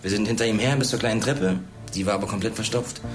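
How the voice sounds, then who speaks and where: A man's voice: on the low side, quick pace, over music, lightly acted. A young man playing a guarded, streetwise teenage schoolboy with terse, slangy delivery in a German radio drama. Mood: recounting, tense.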